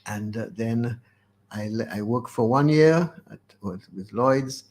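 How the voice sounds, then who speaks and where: A man's voice: medium pitch, a little slow, darkish tone. A man, reminiscing as an interview guest in a hesitant, rambling way that circles back on itself. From Caribbean. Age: 80s. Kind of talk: unscripted reminiscing in a radio interview.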